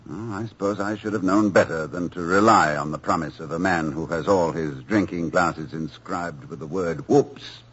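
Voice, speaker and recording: An actor playing a genteel, witty college president with a dry, urbane manner, in 1940s radio acting on a dull old broadcast recording. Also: male voice, low voice, moderate pace, few pauses, a bit bright, slightly rough. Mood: wry. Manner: urbane, dry wit, chuckles often.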